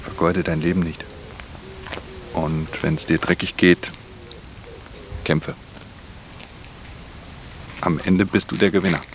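Very deep, gravelly weary thief. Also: male voice, fairly even intonation, takes it slowly, long pauses, a little hiss or hum, rough recording. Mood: subdued, earnest. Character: weary, hard-edged male thief narrating his own crime story. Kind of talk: radio drama, first-person narration and dialogue.

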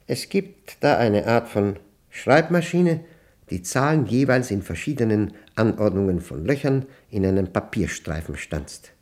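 Older reclusive maths professor, radio drama: lowish gravelly voice, highly animated intonation, proud and pedantic. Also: male voice. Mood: pedantic, precise. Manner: pedantic, deliberate, halting, precise diction. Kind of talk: German radio play monologue.

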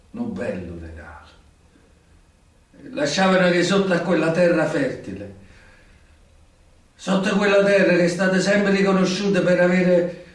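An actor playing a bitter, remorseful old mob informant with a southern Italian accent, confessing in weighty, halting, theatrical phrases for radio theatre. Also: male voice, a little high, natural voice. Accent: southern Italian, Campanian. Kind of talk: theatrical radio drama acting. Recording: very echoey noisy room, distant from microphone.

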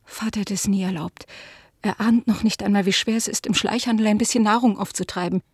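An actress playing an ashamed, pleading middle-aged daughter in a radio drama, confessing in a hushed voice. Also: female voice, medium pitch, fairly even intonation, a little fast, husky, no room sound, clean studio sound, natural voice. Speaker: the collector's daughter.

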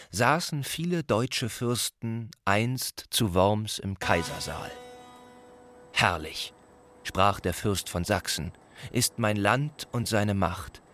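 A man declaiming old ballad verse in a radio play, stately and deliberate. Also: fairly low pitch, a bit bright, no echo.

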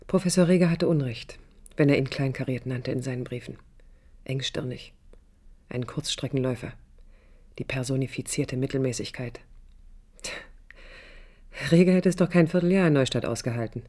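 An actor playing a reflective, ironic storyteller in a literary radio drama, measured and emphatic. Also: male voice, medium pitch, a little mellow, close to an everyday voice. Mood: defensive, ironic. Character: reflective, ironic first-person storyteller looking back.

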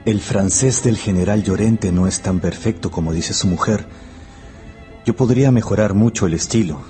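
An actor playing a polite, anxious yet self-assured young historian in a radio drama. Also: male voice, lowish voice, normal speed, dark tone, faint music behind, close to an everyday voice.

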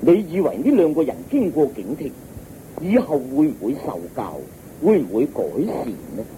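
A man's voice: fairly high pitch, huge pitch swings, moderate pace, warm tone, a little hiss or hum, rough recording. An actor playing a devout, stern king with a stately, emphatic delivery in Hong Kong Cantonese radio drama. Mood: respectful, earnest. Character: devout, stern king, earnest and easily indignant.

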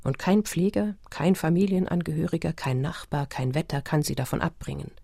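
A woman narrating a radio play in a measured, essayistic, literary manner. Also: low-pitched, creaky, dark tone, husky, no room sound, studio quality, heavily acted. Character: measured documentary narrator of explorer history.

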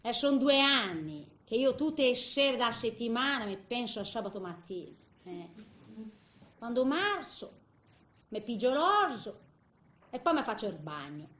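A woman's voice: a little high, fairly bright tone, roomy sound. An actress playing a tender, chatty eighty-year-old woman with a Ligurian Italian accent, in a homely theatrical monologue. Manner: chatty, homely, hesitant storytelling with little interjections.

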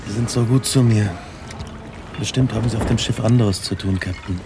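A man acting an exhausted, tormented, driven castaway with weak, halting speech in a radio play. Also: fairly low pitch, steady tone, lightly acted. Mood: weakly grateful. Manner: halting, broken phrases. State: weak, weary. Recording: clear noise, ambience behind.

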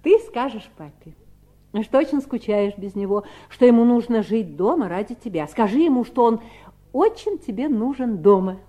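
An actress playing a commanding, scheming mother in a 1970s Soviet radio drama, controlled and smoothly manipulative. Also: fairly high pitch, animated, normal speed, warm tone. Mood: coolly insistent. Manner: imperious, controlled.

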